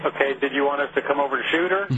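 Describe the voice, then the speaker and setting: A man working as an emergency dispatcher, flat and curt, sounding annoyed. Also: medium pitch, very fast. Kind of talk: recorded emergency call. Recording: dull phone-call recording with noise.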